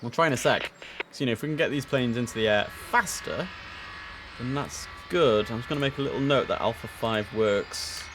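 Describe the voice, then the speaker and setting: A man's voice: mid-range voice, fairly quick, over music. A man giving casual live-stream commentary as he plays air traffic controller, easygoing and half talking to himself, slipping into clipped controller phraseology.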